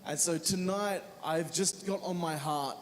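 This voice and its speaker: An Australian man preaching a sermon in short phrases, pausing between each one for his interpreter. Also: on the high side, a little hiss or hum. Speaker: evangelist preacher. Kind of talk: sermon delivered through an interpreter. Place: church hall. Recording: reverberant hall with faint music.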